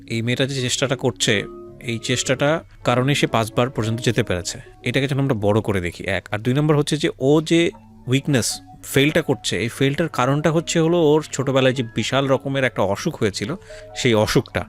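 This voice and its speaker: A man's voice: medium pitch, a little fast. Man hosting a radio interview, music behind. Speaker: radio show host. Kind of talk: life-story interview, explaining and prompting.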